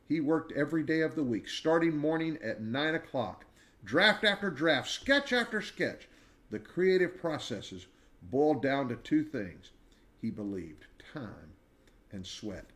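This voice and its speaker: A man in his sixties reading a children's biography aloud in a measured, expressive storyteller's way, with dramatic pauses. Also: mid-range voice. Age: 60s. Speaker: read-aloud narrator.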